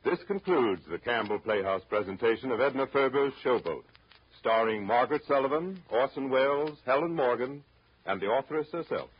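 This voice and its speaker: A man's voice: medium pitch, animated, fairly quick. A man announcing from a script in 1930s radio style. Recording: dull, noisy 1930s radio recording.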